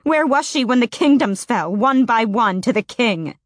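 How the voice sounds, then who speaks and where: A woman voicing a fierce, bitter young woman fighter in an audiobook, speaking sharp and raised. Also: mid-range voice, lively intonation, normal speed, dry recording, clean studio sound, close to an everyday voice. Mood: furious.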